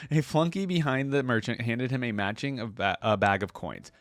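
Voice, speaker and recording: A man's voice: mid-range voice, moderate pace, no room sound. A man hosting a reaction video, moving between casual commentary and reading a story aloud.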